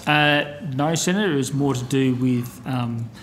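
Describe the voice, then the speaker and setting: A man's voice: mid-range voice, moderate pace, noisy background. A man giving formal testimony as an official, careful, hesitant and deferential. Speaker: official answering at a hearing. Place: committee hearing room.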